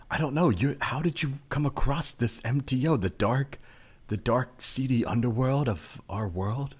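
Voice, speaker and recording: A man voicing an awed, furtive scholar from the future, speaking in a secretive hush in an improvised comedy sketch. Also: mid-range voice, measured pace, voice pushed up. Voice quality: husky, hushed.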